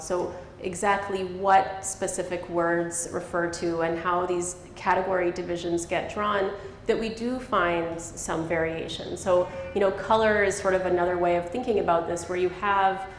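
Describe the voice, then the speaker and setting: A woman's voice: fairly low pitch, a little hiss or hum. A woman linguist answering questions in a public conversation, explaining in a thoughtful way and laughing now and then. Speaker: linguist giving a public talk. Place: lecture hall. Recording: echoey hall with faint ambience.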